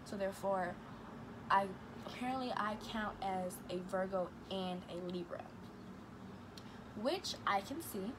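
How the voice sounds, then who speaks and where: A young woman from Chicago chats through a casual get-to-know-me vlog. She talks quickly and stutters on some words, reading each question aloud before she answers. Her voice sits at a middle pitch, and steady room noise runs behind her. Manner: fast-talking with a stutter, reads questions aloud then answers. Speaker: vlogger. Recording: noisy room ambience.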